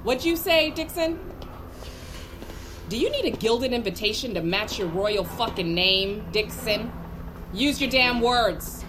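A woman's voice: a little high, lively intonation, measured pace, background noise. A woman with an American accent playing a harsh, sarcastic army drill sergeant who barks in an audio drama. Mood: barking, scornful. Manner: barking, sarcastic.

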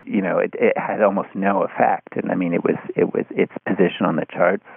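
A man speaking as an interview guest in a thoughtful, halting conversational way, often restarting his phrases. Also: deep voice, steady tone, creaky, husky, dry recording. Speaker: interview guest, writer of an article on podcasts. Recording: phone-like narrow-band sound.

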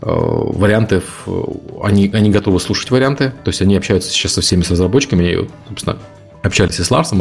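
A man from Ukraine co-hosting a podcast, explaining his points in long, rapid run-on stretches of casual talk. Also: on the low side, creaky, darkish tone, husky. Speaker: podcast co-host.

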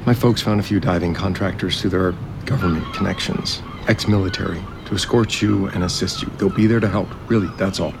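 A man's voice: low-pitched, fairly even intonation, a little fast, gravelly, hardly any pauses, background noise. An actor playing a grieving, overwhelmed resort heir in an audio drama, hesitant and apologetic, placating whoever he talks to. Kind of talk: naturalistic audio drama acting.

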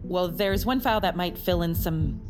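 A knowing, skeptical woman who keeps records, played by an actress in a naturalistic audio drama. Her voice sits a little low and her delivery is deliberate and coolly pointed. Music is clearly heard behind her. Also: close to an everyday voice.

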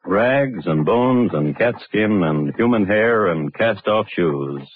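A man's voice: lowish voice, expressive, slow pace. A man playing a wry, literate storyteller narrating grim true crimes in a 1950s radio drama, with dry, ornate phrasing. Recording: muffled old radio recording, faint noise.

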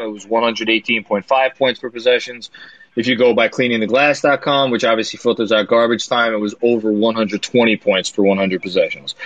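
A middle-aged man hosts a live sports call-in show. He speaks quickly through a run of statistics and keeps things friendly with callers. His voice sits in a middle range and is recorded close up with no room sound. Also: mid-range voice, fairly bright tone, no echo, poor audio. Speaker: host.

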